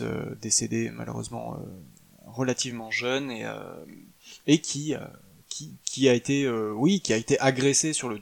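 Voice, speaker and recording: Guy rambling about a movie, gravelly voice, lots of uhs and restarts. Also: mid-range voice. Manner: hesitant, many fillers and restarts. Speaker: podcast film reviewer. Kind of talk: casual spoken film review.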